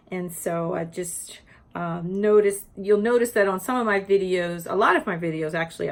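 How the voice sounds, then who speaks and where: Woman explaining a children's read-aloud. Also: lowish voice. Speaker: teacher presenting educational read-aloud videos for teachers. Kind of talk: informal instructional talk with children's picture book read-aloud.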